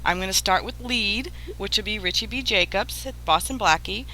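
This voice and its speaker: A woman's voice: medium pitch, normal speed, pushed and bright, slight background noise, poor audio. A woman hosting a casual chat with radio performers, thanking them and putting questions to them. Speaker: radio show creator and host.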